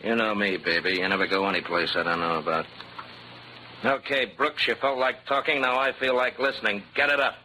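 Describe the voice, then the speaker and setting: A man's voice: lowish voice, steady tone, a little fast, sharp tone, rough recording. A man playing a menacing, jealous, sneering crook in 1940s radio crime drama style. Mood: cool menace. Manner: sneering, threatening, slangy. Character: menacing, jealous tough-guy male crook with a gun. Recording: old radio recording, faint noise.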